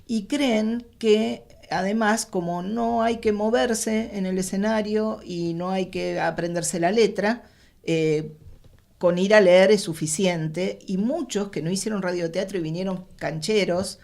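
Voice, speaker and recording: A woman's voice: mid-range voice, fairly even intonation, unhurried, creaky, darkish tone. A woman with an Argentine accent answering interview questions about her craft in a conversational way. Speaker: radio drama professional being interviewed.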